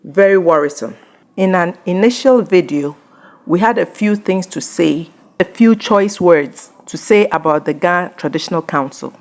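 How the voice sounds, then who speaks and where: A woman's voice: lowish voice, moderate pace, a little mellow. A woman hosting her own channel, carefully translating an interview into English; Ga is not her first language.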